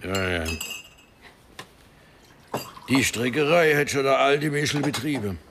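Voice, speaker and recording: An actor playing an elderly widowed villager, terse and plain-spoken, in a radio drama. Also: male voice, mid-range voice, animated, slow pace, a bit bright, slight background noise. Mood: matter-of-fact. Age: older. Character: old widowed village man, gruff, plain-spoken, devoted.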